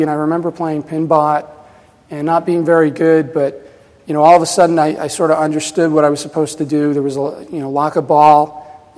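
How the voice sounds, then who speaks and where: A man in his fifties gives a casual conference talk, voice sitting a touch high. He rambles with plenty of fillers and restarts. The sound carries a long reverb from a large hall. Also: darkish tone. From New Jersey, USA. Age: 50s. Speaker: presenter at a pinball festival.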